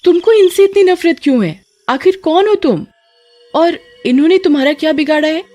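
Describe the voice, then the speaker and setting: Woman as a high-pitched, insistent little girl. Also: lively intonation, moderate pace, dark tone, a little hiss or hum. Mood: alarmed, demanding. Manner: childlike, insistent, pleading. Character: earnest, brave young daughter pleading for her parents. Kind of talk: dramatized horror story acting.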